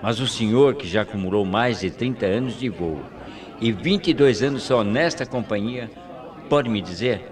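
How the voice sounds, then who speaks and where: A man's voice: fairly low pitch, animated, moderate pace, natural voice. A Brazilian man playing a respectful, curious airline crew member in a radio drama, articulate and deferential in his speech. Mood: respectful curiosity. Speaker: radio actor. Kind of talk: 1990s radio drama acting.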